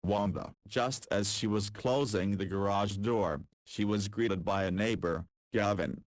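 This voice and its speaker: A male narrator reading a visual novel in an even, measured way. His voice is low. The recording is dry. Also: no room sound. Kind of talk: scripted storybook narration.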